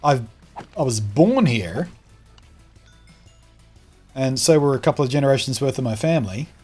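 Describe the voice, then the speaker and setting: A man's voice: mid-range voice, animated, normal speed, a little mellow, husky, soft background music. A man with an Australian accent streaming a game from home, chatting casually and answering viewers' comments as he plays. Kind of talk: casual live-stream chat while playing.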